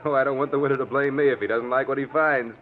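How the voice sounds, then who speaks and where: Actor as hearty organiser, tinny radio sound. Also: male voice, mid-range voice, steady tone, fast, very noisy, lightly acted. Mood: chummy. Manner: genial, chuckling, folksy salesmanship. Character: hearty, chummy charity organiser pushing raffle tickets. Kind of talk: 1940s radio acting. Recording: tinny old radio, crowd behind.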